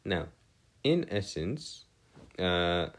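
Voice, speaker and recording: Male tutor, low voice, swooping intonation, formal wording, hesitant, step-by-step explaining. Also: big pitch swings, very slow. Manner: formal, wordy phrasing, hesitant, self-correcting. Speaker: math tutor. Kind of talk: informal lecture, explaining step by step.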